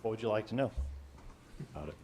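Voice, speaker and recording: A man with a deep, low voice walks the council through a program change. He talks casually, filling gaps with "you know" and hedging as he goes. He is speaking in a council chamber. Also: expressive, fast talker, background noise. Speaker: city staff member. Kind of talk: explaining at a council meeting.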